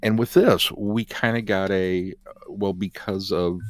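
Low-pitched man in casual podcast discussion. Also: fairly even intonation, measured pace, no echo. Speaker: podcast co-host.

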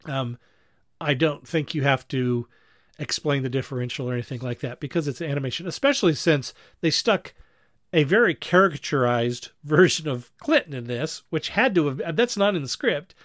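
A man talking casually and critically as a podcast panelist, talkative, with halting, repeated phrases. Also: fairly high pitch, expressive, fairly bright tone, no echo, clean studio sound. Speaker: podcast panelist reviewing fan productions.

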